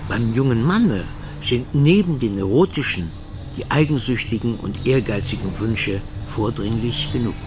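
A man reciting literary texts in a measured, declamatory and solemn way. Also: mid-range voice, animated, music in the background.

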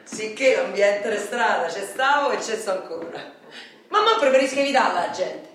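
An actress playing a rough, candid, wounded ex-convict street woman with a Roman accent, in a colloquial, rambling radio theatre monologue. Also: medium pitch, sing-song, moderate pace, a bit bright, a little hiss or hum. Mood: candid, wistful. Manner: colloquial, rambling, confiding, with outbursts. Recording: very echoey room, distant microphone.

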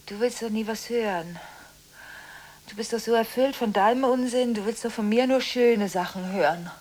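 A distraught woman voiced by an actress talks on the telephone in a fairly high voice. She is agitated and halting, repeating words and breaking off, full of contradictions, in the manner of a radio drama monologue. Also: darkish tone, natural voice. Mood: hurt, reproachful. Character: distraught, contradictory woman making frantic phone calls. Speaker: lead actress in a radio play.

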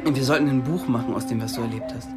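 Radio play role: eager, persuasive young confidant, low-pitched, insistent, music clearly underneath. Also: female voice, racing. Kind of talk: German radio drama acting.

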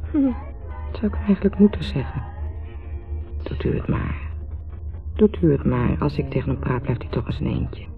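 An actress playing a mocking, bitter, lonely wife in a sardonic, theatrical radio drama monologue. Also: female voice, very deep voice, animated, normal speed, gravelly, pauses often, full character voice. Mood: mocking, teasing, then bitter, wistful. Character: mocking, bitter, lonely wife musing about her husband. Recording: thin, phone-like 1970s radio recording with music.

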